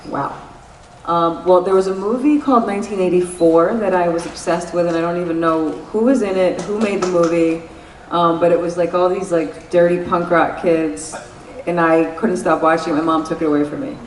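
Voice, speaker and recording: New York woman, frank and salty storyteller, low-ish voice, distant echoey recording. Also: fairly even intonation, normal speed, slight background noise. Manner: candid, swears freely, laughs while telling stories. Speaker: guest actress. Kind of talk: casual interview at a convention. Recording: echoey convention room, mic at a distance.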